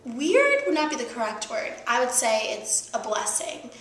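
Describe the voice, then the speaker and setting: A woman's voice: a little high, big pitch swings, normal speed, roomy sound, faint music behind. A nineteen-year-old woman, a college student, chatting in an interview and laughing as she answers. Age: teens. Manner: chatty, laughs often, says um and like.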